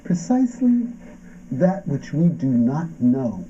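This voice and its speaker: Man acting a slow, dark-voiced tormented thinker. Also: a little high, sing-song. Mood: probing. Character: tormented existential man questioning being and nothingness. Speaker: stage actor. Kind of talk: avant-garde theatrical performance of a radio play. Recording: dull, muffled stage recording with noise.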